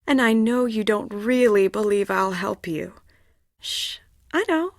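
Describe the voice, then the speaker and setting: A scheming game villainess, cold and smug. She speaks slowly and deliberately in a medium-pitched, close, dry voice full of menace. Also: lively intonation, no echo. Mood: cold mockery. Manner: slow, deliberate, smug, breathy hushes. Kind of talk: dramatic video game voice acting.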